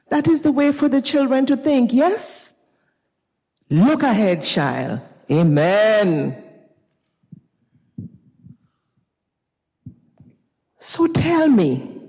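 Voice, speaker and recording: A woman playing an elderly, wise Grenadian mother in a dramatized reading, speaking deliberately with a Grenadian accent and consoling manner. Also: fairly high pitch, a little mellow, slightly rough, full character voice. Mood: approving. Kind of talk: dramatized stage reading of fiction. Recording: reverberant recital hall.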